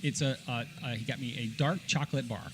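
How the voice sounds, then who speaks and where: A middle-aged man co-hosting a casual podcast, telling stories in an animated way and given to laughing. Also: mid-range voice, quick pace, soft background music. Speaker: podcast co-host. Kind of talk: casual podcast chat and storytelling.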